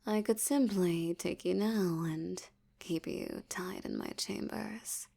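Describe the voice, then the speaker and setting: A woman voicing a dominant, aristocratic vampire elder in an intimate audio roleplay, teasing and menacing, with a breathy voice that often drops toward a whisper. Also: lowish voice, warm tone, exaggerated voice. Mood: calculating, possessive. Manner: slow, teasing, menacing, with lingering pauses.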